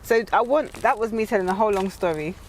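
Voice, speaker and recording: Expressive London woman interviewer, noise behind. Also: mid-range voice, fast. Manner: expressive, emphatic, repeats phrases. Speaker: interview host. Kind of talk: casual conversational interview.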